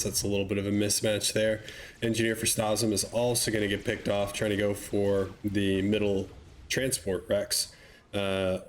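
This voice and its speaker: A man with a stuffy, slightly nasal voice casting a strategy game match with relaxed, chatty commentary and wry asides. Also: lowish voice, slight background noise. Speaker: game caster.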